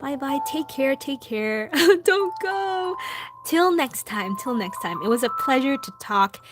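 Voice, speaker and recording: A young woman with a Filipino accent chatting casually with her livestream viewers while drawing, soft-spoken with lots of ums. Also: high-pitched, very animated, a little slow. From Philippines. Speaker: livestream host. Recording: background music. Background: music.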